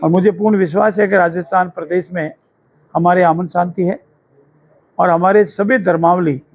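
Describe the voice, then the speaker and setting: A man's voice: high voice, fairly even intonation, normal speed. A male politician making a public statement to the press, emphatic and repeating his phrases in lists. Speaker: politician, government minister.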